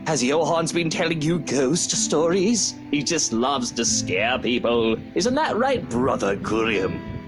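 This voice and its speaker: Lively, cocky, teasing heir. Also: female voice, very deep voice, takes it slowly, music in the background, heavily acted. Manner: sardonic, animated. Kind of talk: visual novel character acting.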